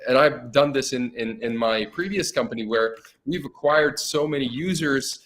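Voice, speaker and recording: A man's voice: mid-range voice, normal speed, a bit bright, a little hiss or hum. A man co-hosting a casual interview, talking in long loose sentences full of "you know". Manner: chatty, lots of "you know". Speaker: co-host.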